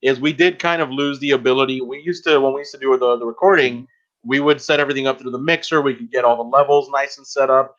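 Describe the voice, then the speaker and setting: A man's voice: mid-range voice, steady tone, fast, a bit bright. Male co-host, mid-range voice, conversational explainer, muffled lo-fi stream sound. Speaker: podcast co-host. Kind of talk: casual podcast chat. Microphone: inexpensive plug-in external mic on a laptop. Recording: dull, lo-fi computer audio.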